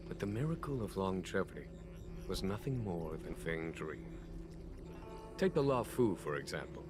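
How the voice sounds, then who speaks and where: An actor voicing a grave, learned general in a game, recounting ancient history in measured, formal speech. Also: male voice, fairly low pitch. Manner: measured, formal, weighty storytelling. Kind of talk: solemn scripted game dialogue. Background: game music.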